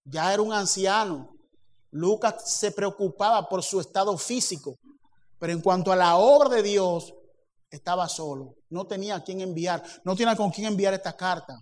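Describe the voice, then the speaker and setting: Male preacher, high pitch, animated sermon delivery with acted-out dialogue. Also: moderate pace. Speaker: pastor.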